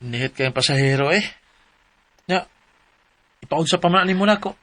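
Bright-voiced, evasive Cebuano boyfriend. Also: male voice, on the high side, lively intonation, moderate pace, no echo. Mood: irritated. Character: cheating young boyfriend, evasive, irritable, shiftless. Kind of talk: radio drama acting.

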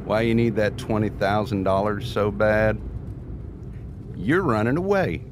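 An actor playing a jaded, sardonic deadbeat father in an audio drama, speaking in a dry, halting, sarcastic way. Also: lowish voice, lightly acted. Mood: dry, blunt. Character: jaded, sardonic deadbeat birth father, self-described runaway. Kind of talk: naturalistic scripted audio drama acting. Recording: noisy outdoor ambience with car sounds.

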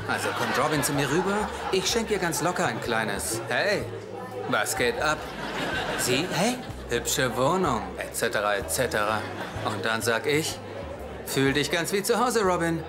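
A man's voice: mid-range voice, close to an everyday voice. A man dubbing a nervous, hopeful young man who rambles awkwardly when talking to a woman he likes, with sitcom music and laughter behind. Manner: flustered, eager, rambling. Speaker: dub actor. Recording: dubbed TV audio with music and laughter.

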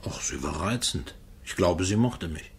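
A man's voice: deep voice, expressive, moderate pace, breathy edge, exaggerated voice. A man playing an uneasy, shifty murder suspect in a radio crime play, hesitant and evasive. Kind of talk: German radio drama acting.

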